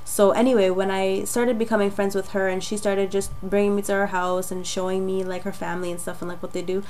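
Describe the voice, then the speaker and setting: A young woman from Jamaica, raspy from a cold, telling her personal story in a chatty, rambling way with many fillers. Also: medium pitch, level tone, a little fast, darkish tone, a little hiss or hum, low-quality recording. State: getting over a cold. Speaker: vlogger. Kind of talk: casual personal testimony to camera.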